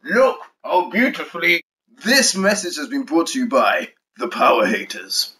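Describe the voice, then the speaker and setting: A man's voice: fairly high pitch, expressive, a little slow, sharp tone. Man putting on a pompous, indignant gamer zealot. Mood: indignant, boastful.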